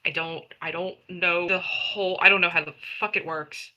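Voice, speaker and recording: Very bright, forceful, halting woman. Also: fairly low pitch, steady tone, highs cut off. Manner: halting, earnest, repeats phrases. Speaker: player in a tabletop roleplaying game. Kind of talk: improvised in-character roleplay.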